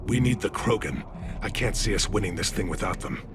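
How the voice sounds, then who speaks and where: An actor playing a proud, grave alien military leader in a video game, speaking formally. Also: male voice, on the low side, fairly quick, background noise, close to an everyday voice. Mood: grave insistence.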